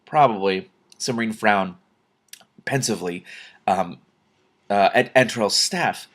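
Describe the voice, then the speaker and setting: A man's voice: lowish voice, slow, a bit bright. A man reading a fantasy novel aloud as host, expressively, with casual off-the-cuff asides.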